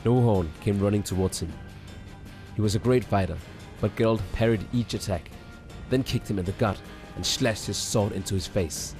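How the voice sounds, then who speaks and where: A man's voice: lowish voice, normal speed, a little mellow. A man reading scripted fantasy story narration, with music behind him. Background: background music.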